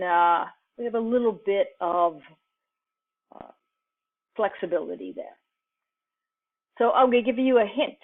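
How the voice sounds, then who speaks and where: Slow-paced woman instructor on phone-like audio. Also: mid-range voice. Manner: halting, deliberate, pausing mid-sentence. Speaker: computing instructor. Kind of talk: informal lecture, explaining an assignment step by step. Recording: muffled, phone-like narrowband audio.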